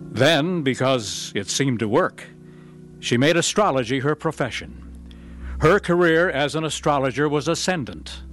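Earnest male radio drama announcer. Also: mid-range voice. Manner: measured, earnest announcer delivery. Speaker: announcer and narrator. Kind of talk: scripted radio drama narration.

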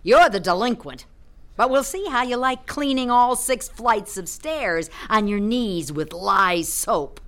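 Sneering, sharp-voiced cruel matron. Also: female voice, fairly high pitch, animated, measured pace. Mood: sneering, menacing. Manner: haughty, scornful, sarcastic. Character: cruel, sneering, hot-tempered reform school matron. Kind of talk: radio drama acting.

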